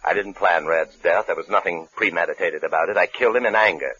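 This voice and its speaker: Wry fugitive husband, very low voice, American accent, measured delivery, muffled vintage radio drama recording. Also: male voice, pushed and bright, slight background noise. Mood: calm, calculating. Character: cool, wryly scheming husband and fugitive killer, narrating. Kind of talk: 1950s radio suspense acting with narration.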